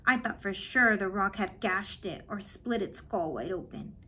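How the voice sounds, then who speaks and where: Slow-paced woman reading a story aloud. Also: mid-range voice, expressive, pushed and bright, slightly rough. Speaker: reader of a children's book. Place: small cluttered office.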